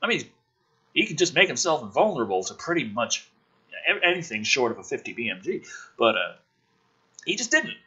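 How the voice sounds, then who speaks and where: A man's voice: medium pitch, sing-song, fairly quick, pauses often, sharp tone, husky, dull audio. A man reading a script aloud dramatically as host, with an emphatic, sardonic delivery and profane asides. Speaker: host reading a film script aloud with his own commentary.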